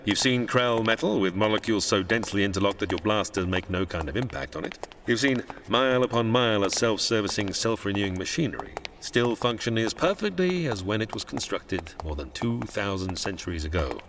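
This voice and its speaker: A man's voice: lowish voice, expressive, a little fast, few pauses, fairly bright tone, slight background noise. A man playing a proud, learned older scientist who lectures formally and grandly in a radio sci-fi drama. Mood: grave, proud. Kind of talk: old-time radio sci-fi drama acting.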